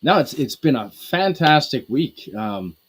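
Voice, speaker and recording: A man's voice: medium pitch, very animated, unhurried. A man from Canada chatting on a podcast in a rambling, hesitant way, with lots of restarts and fillers. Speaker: podcast co-host. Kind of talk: casual group podcast chat.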